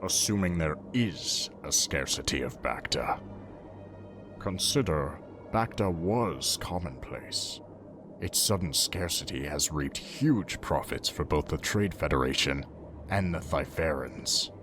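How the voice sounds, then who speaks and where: A man acts out an old alien Jedi master with an extremely low voice. He is stern and wry, measuring his words formally in a comic dub. Prominent music plays beneath him. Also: animated, takes it slowly, exaggerated voice. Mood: grave. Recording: loud music under the voice.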